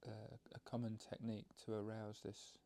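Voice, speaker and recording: A man leading a guided meditation, explaining it gently in halting, unscripted phrases. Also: on the low side, monotone. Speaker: meditation teacher.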